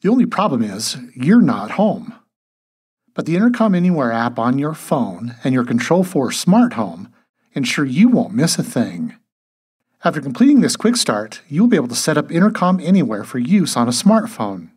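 A man reading scripted instructional narration as a tutorial narrator, in a measured, step-by-step way. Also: mid-range voice, sing-song, a little mellow, slightly rough.

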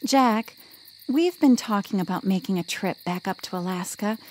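An actress playing a gentle, encouraging wife and mother in a family audio drama, with a husky, breathy voice. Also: mid-range voice, animated, normal speed, slight background noise, close to an everyday voice. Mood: cheerful, coaxing.

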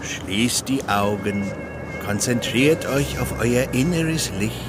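An eccentric inventor and yoga-loving peacemaker in a radio play. His mid-pitched voice swings widely and theatrically, with music behind it. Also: animated, slow. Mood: soothing guru. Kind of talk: German children's audio drama acting.

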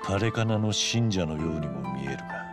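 Very deep, stoic tough man. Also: animated, fairly quick, over loud music. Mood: grave, reserved. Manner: terse, understated. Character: stoic, terse, serious tough middle-aged man. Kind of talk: scripted Japanese video game dub.

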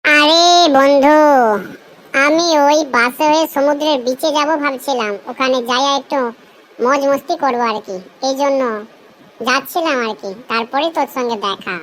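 A high, coarse-talking young husband from a comedy cartoon, acted out by a woman. He is lecherous, hapless and full of street slang. There is noisy ambience behind the voice. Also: moderate pace, a bit bright. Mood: coaxing. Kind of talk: comic cartoon dialogue.

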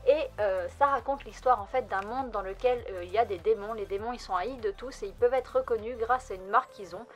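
A woman's voice: on the high side, brisk. A young woman hosting a casual manga vlog from a room lined with bookshelves, talking in an upbeat, chatty way with frequent fillers. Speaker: manga vlogger.

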